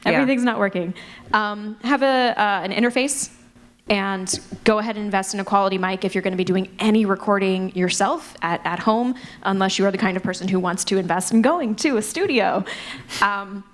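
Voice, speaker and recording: A woman's voice: mid-range voice, brisk. A woman speaking casually and practically as an audio drama producer on a live panel. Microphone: wired panel microphone.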